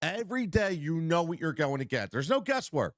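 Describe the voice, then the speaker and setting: A man hosting a live sports betting show, energetic and repetitive, talking straight to viewers while congested from a cold. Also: fairly high pitch, brisk, dry recording, studio quality. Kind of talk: live-stream betting talk.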